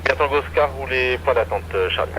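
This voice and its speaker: A man working as an air traffic controller, giving instructions over aviation radio with hesitant, halting phrasing. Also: mid-range voice. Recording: noisy aviation radio with aircraft drone.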